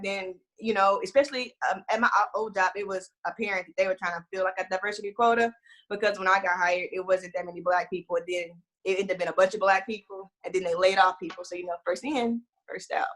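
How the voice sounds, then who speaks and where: A woman's voice: mid-range voice, fairly even intonation, quick pace, fairly bright tone, low-quality recording. A woman in her late twenties with a Texas accent, talking candidly and firmly in casual conversation. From Houston, Texas.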